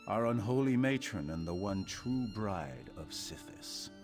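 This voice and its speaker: A sinister man who recruits assassins for a dark cult in a video game. His deep voice moves slowly and theatrically, rising and falling with silky menace. Soft music plays behind him. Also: lively intonation. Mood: reverent.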